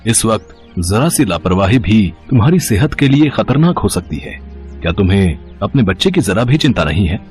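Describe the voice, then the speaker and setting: A man acting a concerned village doctor in a moral story, fatherly and gently admonishing. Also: lowish voice, big pitch swings, normal speed, faint music behind.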